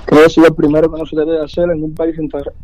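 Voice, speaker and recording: A man's voice: on the high side, completely flat delivery, fairly quick, mellow, no echo. A man answering questions on a phone call, earnest and hesitant, repeating phrases. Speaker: prank call victim.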